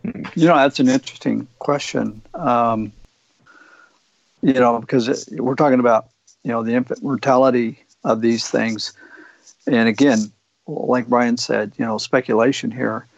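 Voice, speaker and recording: A man with a gravelly voice talks loosely, rambling through fillers and restarts. His sound is dull and dry. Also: medium pitch, fairly even intonation, measured pace, dry recording. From Oregon. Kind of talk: casual discussion.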